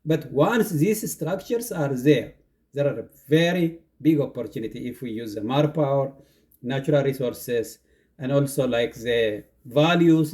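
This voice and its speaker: A man's voice: mid-range voice, a little mellow. A man from Ethiopia with an Ethiopian accent, a university instructor earnestly explaining his charity's work in a casual monologue. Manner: earnest, builds long lists of points.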